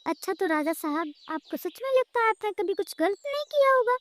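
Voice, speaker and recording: High-voiced defiant scheming midwife played by a woman. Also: expressive, fairly quick, a bit bright. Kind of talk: cartoon story dialogue.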